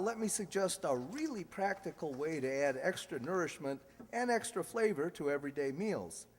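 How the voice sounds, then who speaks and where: A man's voice: high voice, long reverb tail. A man reading scripted announcements and narration in the style of a 1940s radio announcer.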